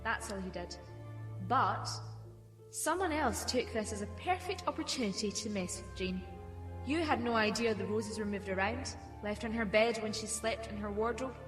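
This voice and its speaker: A woman's voice: medium pitch, moderate pace, roomy sound, music in the background, close to an everyday voice. A young woman playing a composed, assured private detective in a mystery audio drama. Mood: firm, accusing. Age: young adult.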